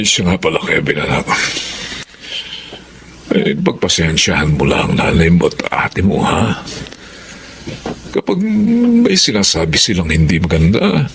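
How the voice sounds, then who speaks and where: A man playing a kind, encouraging elderly father in a Filipino drama, speaking in a gentle, animated manner. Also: rumbling low, creaky, slight background noise, exaggerated voice. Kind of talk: emotional Filipino audio drama acting.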